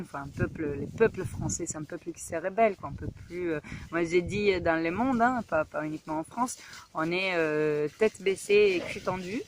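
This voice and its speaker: A woman's voice: lowish voice, background noise. A woman from Italy with an Italian accent, talking earnestly in a casual video message, hesitant, with many fillers and restarts. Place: home in Guadeloupe.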